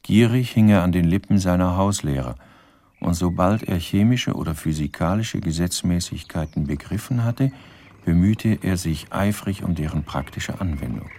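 A man's voice: deep voice, animated, moderate pace, dark tone. A man narrating a German radio crime drama in a dry, formal, ironic manner.

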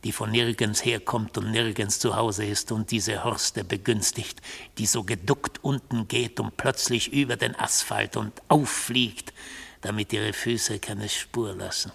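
An actor playing a stern, mystical accused man who holds forth in court in a husky, measured, disdainful voice. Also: on the low side, fairly even intonation, a bit bright, exaggerated voice. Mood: grave, obsessive. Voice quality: gravelly, husky. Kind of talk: 1970s radio drama monologue.

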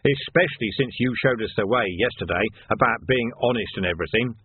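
A man's voice: medium pitch, brisk, slightly rough, dry recording, narrow, tinny audio. A man playing a smug, sly police sergeant in an audiobook, insinuating and falsely earnest. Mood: smug, mock-innocent. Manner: insinuating, mock-innocent. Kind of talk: audiobook character acting.

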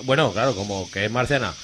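A man with an Aragonese accent playing a drunk, excitable, foul-mouthed young man in a comic radio serial, slangy and blustering. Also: on the low side, slight background noise. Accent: Aragonese Spanish. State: acting drunk.

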